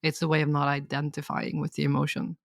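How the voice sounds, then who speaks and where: Woman with a gravelly voice. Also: deep voice, fast, no room sound, studio quality. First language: Swedish. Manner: thoughtful, explanatory, steady. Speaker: guest expert. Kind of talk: reflective podcast conversation.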